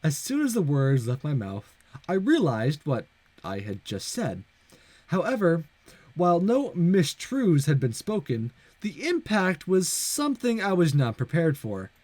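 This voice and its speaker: A man's voice: on the high side, huge pitch swings, a little slow, darkish tone, no echo. A man narrating a visual novel aloud in a casual let's-play style, with asides. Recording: music behind the voice.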